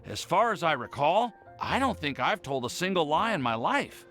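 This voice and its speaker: A man's voice: on the high side, big pitch swings, normal speed, a bit bright, soft background music. An actor playing an earnest, lovestruck young man full of self-doubt in a video game, speaking formally and hesitantly. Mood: earnest, flustered.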